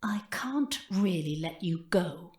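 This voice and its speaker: A woman playing a menacing, imperious cult leader in an audio drama, slow and theatrical, mock-gentle and often dropping to a whisper. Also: fairly low pitch, lively intonation. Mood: coolly condescending. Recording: roomy, echoing interior sound.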